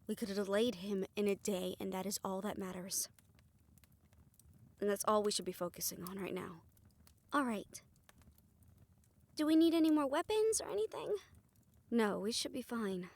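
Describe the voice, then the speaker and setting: A woman's voice: medium pitch, lightly acted. A woman playing a defiant, determined young woman in a fantasy audio roleplay, earnest and sardonic when challenged.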